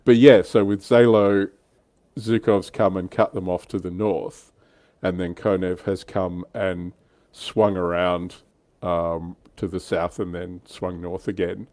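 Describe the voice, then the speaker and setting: A man's voice: sits low, little pitch movement, slow, darkish tone, no room sound. A man hosting a casual military history panel, talking in an informal, blunt way. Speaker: livestream host.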